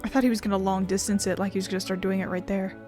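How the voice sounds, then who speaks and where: A woman's voice: mid-range voice, steady tone, racing, a little mellow. A woman streaming a game, chatting casually in a rambling, blunt way. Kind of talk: casual gameplay chat. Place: indoors at home. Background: game music.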